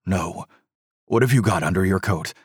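A man's voice: deep voice, normal speed, slightly rough, no echo, clean studio sound. A man voicing an anguished middle-aged doctor who groans and pleads with his wife in an audiobook reading. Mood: grim. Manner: strained, groaning, restraining.

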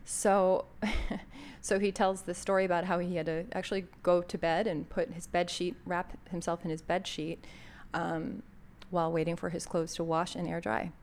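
A woman's voice: lowish voice, level tone, normal speed, a little mellow. An American woman hosting a podcast, introducing an episode in a thoughtful, conversational way with frequent fillers. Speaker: podcast host.

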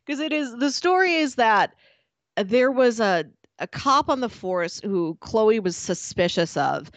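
A woman chatting casually as a podcast co-host, telling stories in an animated, playful way. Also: fairly high pitch, measured pace, no echo. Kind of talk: casual chat.

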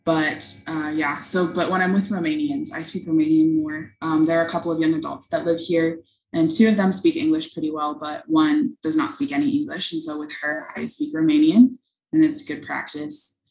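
A woman's voice: deep voice, flat delivery, moderate pace, a little mellow, echoey room, rough recording. A young woman, a missionary, answering interview questions earnestly and thoughtfully, with frequent ums. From United States.

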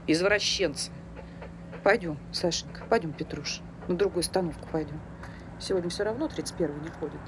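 A man acting a testy older woman in a naturalistic play, pitched high. She is superstitious and curt, with homely everyday speech. Traffic is clearly heard around her. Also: a little fast, natural voice. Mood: hostile. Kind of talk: naturalistic stage dialogue. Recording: street ambience with vehicle noise.

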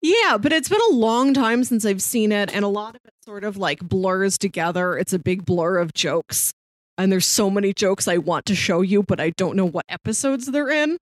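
A young adult woman chats casually about television as a podcast host. Her voice sits at an average pitch and is recorded dry, with no room sound. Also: expressive, normal speed, dry recording. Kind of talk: casual chat about TV shows.

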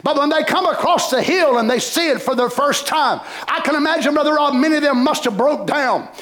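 An older man preaching a fervent sermon, breaking into shouted bursts and cries of hallelujah. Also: very high-pitched, brisk.

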